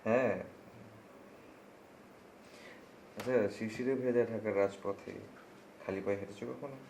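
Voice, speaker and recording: An actor with a Bangladeshi accent playing a hesitant, romantic young man in a TV drama, speaking haltingly and musingly. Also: lowish voice, a little mellow, a little hiss or hum, lightly acted. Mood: shy, tentative. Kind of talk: naturalistic TV drama acting. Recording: music behind the voice. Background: music.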